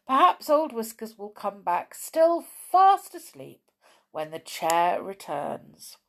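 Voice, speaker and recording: A woman's voice: mid-range voice, sing-song, takes it slowly, a bit bright, voice pushed up, heavily acted. Woman reading as Binky, eager storybook playmate. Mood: reassuring. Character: excitable, reassuring storybook playmate, know-it-all friend Binky.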